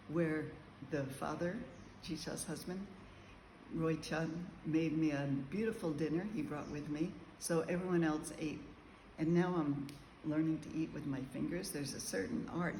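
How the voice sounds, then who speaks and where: A man telling a casual travel diary to camera, meandering and chatty with frequent ums and pauses. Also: a little high, darkish tone, slight background noise. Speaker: travel vlogger. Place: large room with high ceilings, indoors. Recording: echoey room, faint background ambience.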